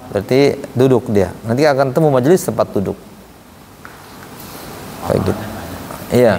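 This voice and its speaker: A man's voice: medium pitch, darkish tone. A man teaching an informal religious lesson, explaining conversationally and often checking with rhetorical questions. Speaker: Quran recitation teacher. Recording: reverberant hall with obvious background noise.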